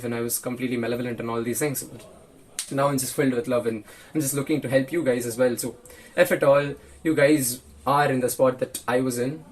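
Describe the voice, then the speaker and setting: A man's voice: medium pitch, poor audio. A nineteen-year-old man talking earnestly and bluntly to the camera, often repeating and restarting his words. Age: young adult, 19. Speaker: video creator. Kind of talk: casual solo talk to camera.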